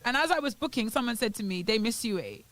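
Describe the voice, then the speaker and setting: A young British woman co-hosting a morning radio show, chatting casually and acting out quotes in an animated way, her accent sometimes drifting toward Bahamian. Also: on the high side, fast, no room sound. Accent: British, at times Bahamian. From Britain. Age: young adult. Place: radio studio.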